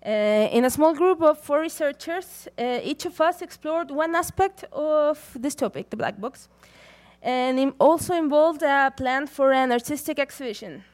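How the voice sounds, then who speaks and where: A young woman from Chile, giving a prepared conference talk from a stage in a measured, deliberate way. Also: sits high, lively intonation, darkish tone. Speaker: conference speaker.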